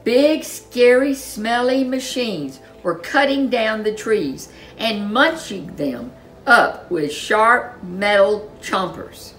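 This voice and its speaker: A woman narrating a children's story in an expressive, dramatic storyteller's manner. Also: a little high, slow, soft background music, poor audio.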